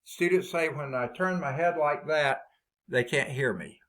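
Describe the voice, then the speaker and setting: A man with a loud voice, leading a math department staff meeting over a video call. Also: a little high, measured pace. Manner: loud voice, talkative and explaining. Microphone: laptop built-in mic on a video call.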